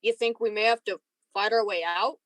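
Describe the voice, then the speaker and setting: A woman's voice: mid-range voice, steady tone, normal speed, a bit bright, lightly acted. A woman playing an edgy, impatient young lieutenant in a science fiction radio play, with a casual, restless delivery. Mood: curious.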